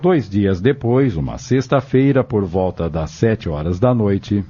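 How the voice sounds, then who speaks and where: A man's voice: fairly low pitch, lively intonation, unhurried, over music. A Brazilian man reading scripted storytelling narration for a radio drama. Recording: dull lo-fi audio.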